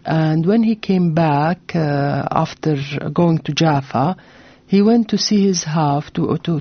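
A woman's voice: low-pitched, husky, dry recording, highs cut off. A woman from Jordan, an author telling her family story in a radio interview, earnest and full of fillers and restarts. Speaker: author on a book tour. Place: radio studio.

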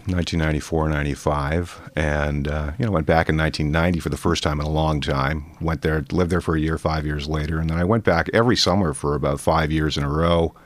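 A man in his thirties, born in Ireland with a Canadian accent, chatting as an interview guest in a relaxed, thoughtful way with many fillers. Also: a bass voice, quick pace, few pauses, no room sound. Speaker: poet guest. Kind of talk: casual interview conversation.

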